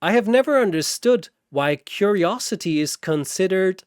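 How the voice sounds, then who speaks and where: A man's voice: high-pitched, lively intonation, normal speed, clean tone, no echo, studio quality, voice pushed up. A man voicing a polite, analytical android officer who speaks precisely and formally in a science fiction story. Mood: detached curiosity.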